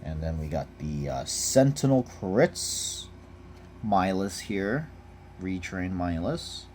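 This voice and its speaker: A man's voice: low voice, animated, takes it slowly, a little mellow, a little hiss or hum. A man talking casually and off the cuff as he reviews trading cards, laid-back in manner, reading out text and giving brief verdicts. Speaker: card game unboxing vlogger.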